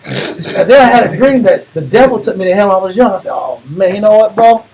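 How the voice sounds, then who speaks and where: A man with an American accent and a husky voice, teaching religion in a colloquial, emphatic way, repeating phrases for emphasis. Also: high-pitched, fast talker, a little mellow, a little hiss or hum, low-quality recording. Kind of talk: informal sermon discussion.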